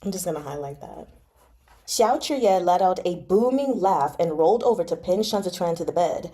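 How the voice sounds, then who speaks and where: A woman hosting a read-along, narrating a novel and adding chatty, excitable asides. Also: fairly low pitch, moderate pace. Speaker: live read-along host.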